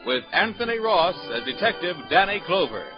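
High-pitched 1940s radio announcer. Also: male voice, very animated, moderate pace. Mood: dramatic. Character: dramatic male radio show announcer. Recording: dull 1940s radio recording, loud music.

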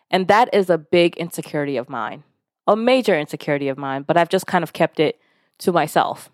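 A woman from Nigeria whose first language is Yoruba talks in a fairly low voice. Her delivery is frank and conversational, and she swears now and then as she hosts a self-help podcast. The sound is close and dry. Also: dry recording. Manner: lively when retelling stories, voicing others. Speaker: podcast host. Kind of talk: candid self-help monologue.

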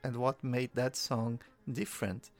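A man's voice: medium pitch, little pitch movement. A man hosting a music podcast, talking in a friendly, encouraging way. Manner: friendly, encouraging, quick interjections. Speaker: podcast host. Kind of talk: casual podcast interview.

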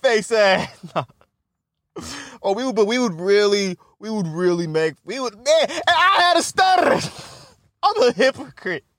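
A man's voice: high-pitched, big pitch swings, measured pace, a bit bright, no room sound, clean studio sound. A young man hosting a podcast, rambling casually with lots of laughter and swearing, and a stutter. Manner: laughing, profane, self-mocking. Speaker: podcast host.

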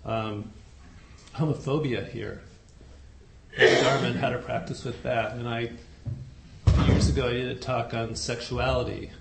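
A soft-spoken man giving a dharma talk to a group, unhurried and hesitant, with many fillers. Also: medium pitch, fairly even intonation, vocal fry, very noisy. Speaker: dharma teacher. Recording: echoey room recording.

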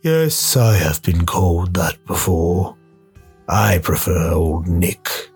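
Guy doing a sly demon gardener for a radio play, super slow n husky, polite but creepy, teasing. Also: low-pitched, darkish tone, voice pushed down, full character voice. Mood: smug, sly. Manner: unhurried, teasing, mock-polite. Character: sly, towering diseased demonic gardener, courteously menacing. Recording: music behind the voice.

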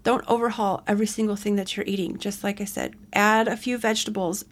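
A woman's voice: medium pitch, flat delivery, quick pace. A woman in her forties hosting a podcast alone, chatting casually and rambling with frequent self-corrections. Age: 40s. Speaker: podcast host. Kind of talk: casual solo podcast chat.

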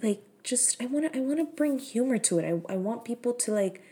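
A woman's voice: fairly high pitch, expressive, fairly quick, mellow. A woman, hosting her own podcast in frank, profane, filler-heavy casual chat. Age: 30s. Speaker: podcast host.

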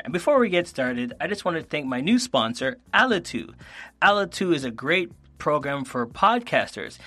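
A man's voice: on the high side, bright, forceful tone, no room sound. A man hosting his own podcast, chatting eagerly with a guest and reading his sponsor thanks. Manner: friendly, eager, quick asides. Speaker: podcast host. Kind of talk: upbeat casual interview chat.

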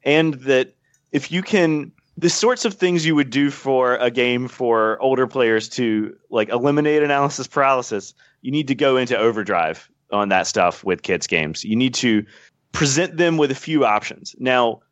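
A man's voice: mid-range voice, lively intonation, normal speed, no room sound. A man explaining game design at length as a podcast guest, thoughtful and full of small fillers. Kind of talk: casual podcast chat. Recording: dull, dry podcast audio.

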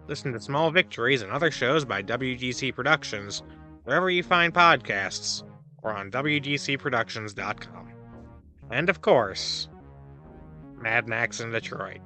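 Casting: male actor for a breezy, self-assured god hosting a comedy advice podcast, very crisp bright edge, theatrical emphasis, music underneath. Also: medium pitch, animated, moderate pace. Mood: upbeat, promotional. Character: cheerful deluded god dispensing absurd, dangerous advice. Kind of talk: comedic audio drama monologue, advice show.

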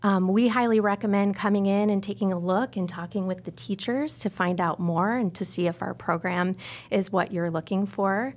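A woman's voice: medium pitch, moderate pace. An American woman speaking as an interview guest, an articulate teacher explaining and promoting a school program. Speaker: arts teacher, podcast guest. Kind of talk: informational interview answers.

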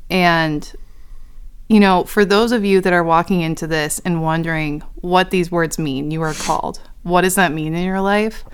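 Earnest young woman, smooth low voice. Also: fairly even intonation, moderate pace. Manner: earnest, encouraging. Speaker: podcast co-host. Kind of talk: casual chat.

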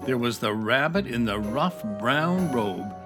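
A man's voice: medium pitch, lively intonation, measured pace, music in the background. A man narrating a scripted children's adventure story.

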